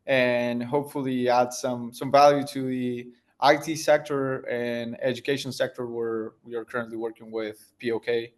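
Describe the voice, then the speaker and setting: A man's voice: mid-range voice, level tone, normal speed, few pauses. A man, answering interview questions as a tech founder in a thoughtful way, searching for words as he goes. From Argentina. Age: 30s.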